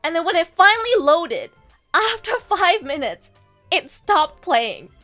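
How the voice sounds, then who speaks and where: Woman livestreamer, casual chat at a home desk mic, slightly sore throat; bright, bouncy, high voice. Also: measured pace, soft background music. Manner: chatty, animated, casually swearing, addresses chat. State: sore throat, coming down with a cold. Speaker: VTuber streamer and voice actor.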